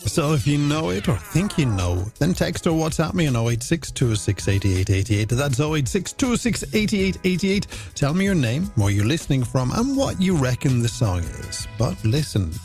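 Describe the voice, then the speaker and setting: Irish man, playful gravelly late-night radio host. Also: a little high, sing-song, normal speed, hardly any pauses, a little mellow, faint music behind. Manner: playful, flowery, theatrical phrasing. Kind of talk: scripted radio presenting.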